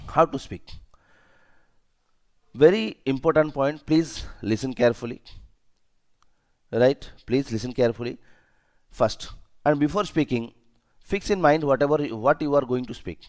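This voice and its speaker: A man's voice: mid-range voice, a little mellow. A man with an Indian accent giving an instructional lesson as a trainer, speaking emphatically, repeating key points and checking understanding with short questions. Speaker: safety and security trainer.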